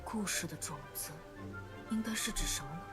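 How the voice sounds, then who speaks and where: A woman plays a shy, gentle young boy with a mid-range, soft-spoken voice. He is polite and apologetic in an anime game, with music clearly underneath. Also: medium pitch, a little fast, natural voice. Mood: shy, earnest. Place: studio game dialogue with music behind.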